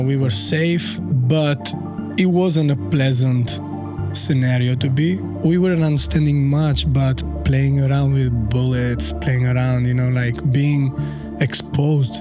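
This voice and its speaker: A man in his thirties from Albania with an Albanian accent, a podcast guest telling his story in a halting, easygoing way with many fillers. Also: medium pitch, steady tone, music in the background. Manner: unhurried, halting, frequent fillers. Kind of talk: casual storytelling interview.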